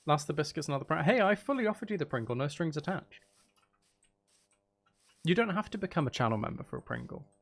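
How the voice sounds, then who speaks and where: A man's voice: on the high side, big pitch swings, fast, pauses often. A man chatting casually on a gaming livestream, thinking aloud as he builds and bantering playfully with viewers, with some mild swearing. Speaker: livestream host.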